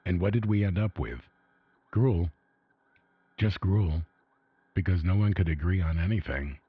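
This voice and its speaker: Guy playing a fed-up bunker survivor reading his diary, low husky voice, emphatic griping, old radio filter. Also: a little slow, no room sound. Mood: exasperated. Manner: despairing, emphatic complaining. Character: weary, exasperated male vault resident reading his journal. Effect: retro AM radio filter. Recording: dull retro AM radio sound.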